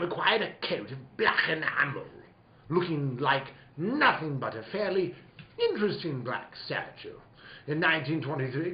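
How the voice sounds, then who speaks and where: An actor playing a pompous, courtly, greedy crime boss in a noir radio play, florid and grandiose. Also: male voice, a little high. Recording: dull roomy recording with background noise.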